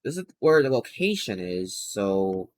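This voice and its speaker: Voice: low-pitched, sing-song, unhurried, darkish tone. A game streamer giving casual, rambling commentary while playing, often exclaiming in mild bewilderment. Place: indoors, live stream with game music behind the voice.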